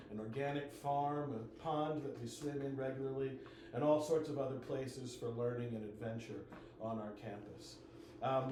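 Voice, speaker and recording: A man of middle pitch presents his school at an open house. His talk is relaxed and loose, full of ums. His voice rings around a very echoey room over a faint hiss. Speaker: enrollment manager.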